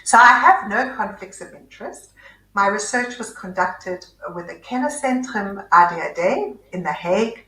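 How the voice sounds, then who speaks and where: A woman's voice: medium pitch, lively intonation, normal speed, sharp tone, reverberant, slight background noise, poor audio. A woman from South Africa with a South African accent, a psychiatrist giving a gracious, articulate webinar talk over a video call. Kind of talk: webinar presentation.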